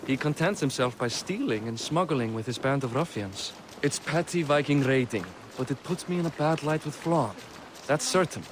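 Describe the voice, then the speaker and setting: A man's voice: medium pitch, expressive, moderate pace, background noise, lightly acted. An actor playing a genial but world-weary Viking king in a video game, with a wry, old-fashioned way of talking. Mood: troubled, wry. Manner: wry, self-deprecating, old-fashioned phrasing. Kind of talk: dramatic video game acting. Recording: music behind the voice.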